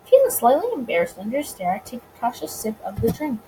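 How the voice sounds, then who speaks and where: A woman narrates a visual novel as a let's player in a high, lively voice. She reads expressively and now and then trips over a word, with clear background noise. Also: fast. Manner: stumbles over words and rereads them. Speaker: video game let's player.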